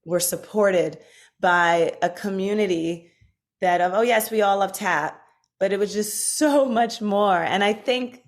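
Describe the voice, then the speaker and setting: A woman's voice: medium pitch, unhurried. Chatty woman sharing stories. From Washington, D.C. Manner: animated storytelling with fillers. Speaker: podcast guest. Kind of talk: casual conversation.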